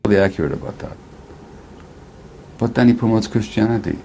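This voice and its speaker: An older man from Hungary, speaking as a therapist in an interview clip, measured and critical. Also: lowish voice, dark tone, slight background noise.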